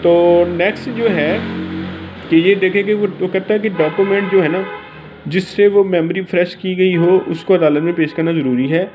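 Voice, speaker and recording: A man with a Pakistani accent giving an informal lesson as a teacher, reading out and explaining in a halting way. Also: high-pitched, fairly even intonation, lo-fi sound, faint music behind. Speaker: law teacher.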